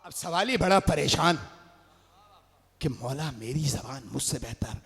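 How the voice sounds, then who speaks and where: A man with an Urdu accent delivering a majlis sermon, rising to shouts and sung phrases as he calls out to the gathering. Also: a little high, lively intonation, measured pace, vocal fry, slightly rough. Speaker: majlis orator. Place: large religious gathering hall. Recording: long hall reverb.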